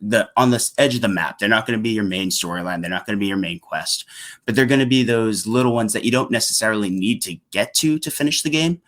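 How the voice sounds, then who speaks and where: A young man with a low, deep-set voice talks casually as a podcast guest. His delivery is conversational and informal, like one gamer chatting with another. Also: quick pace, a bit bright.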